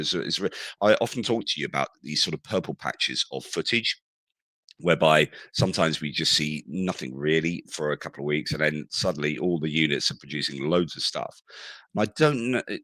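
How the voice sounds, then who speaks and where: A man with a hoarse, croaky voice, giving a casual spoken war news update, rambling and often correcting himself mid-sentence. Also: extremely low pitch, pushed and bright, dry recording. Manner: rambling, with frequent self-corrections. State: hoarse from shouting at concerts.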